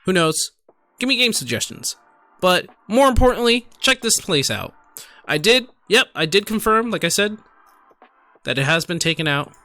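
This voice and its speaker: A man's voice: high voice, sing-song, normal speed, pushed and bright, no echo, soft background music. A tipsy man giving casual, rambling reaction commentary as a streamer, exclaiming and addressing viewers directly. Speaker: streamer and gaming video host.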